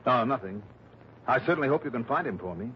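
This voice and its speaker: A man's voice: mid-range voice, expressive, quick pace, lightly acted. An actor playing a dry, unflappable male investigator in a 1960s radio detective drama. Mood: wry, confident. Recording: muffled, noisy old radio broadcast.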